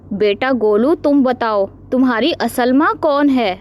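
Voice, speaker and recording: Woman doing a solemn, slow-spoken bird king. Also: fairly high pitch, close to an everyday voice. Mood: questioning. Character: grave, wise bird king passing judgment. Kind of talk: animated moral story dialogue.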